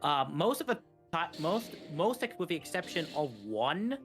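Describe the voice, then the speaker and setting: A man with a fairly high voice commentates a video game speedrun, explaining it step by step. He often repeats and rephrases himself, with game music clearly playing behind him. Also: big pitch swings, normal speed, a bit bright. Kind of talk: live gameplay commentary, explanatory.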